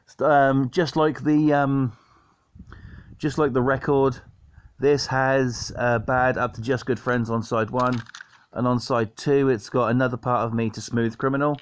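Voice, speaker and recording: A man's voice: medium pitch, flat delivery, measured pace, clear, resonant tone. A man giving a chatty, enthusiastic show-and-tell in a casual home vlog style. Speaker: video host showing a cassette collection. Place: indoors at home by a sofa. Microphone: handheld camera or phone mic. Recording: dull lo-fi camera audio.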